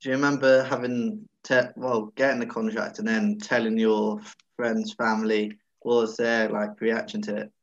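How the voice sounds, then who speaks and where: A man's voice: on the low side, little pitch movement, moderate pace, hardly any pauses, muffled recording. A man hosting a sports interview, asking hesitant questions and answering with short affirmations. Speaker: sports podcast host. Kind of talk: interviewer, casual chat.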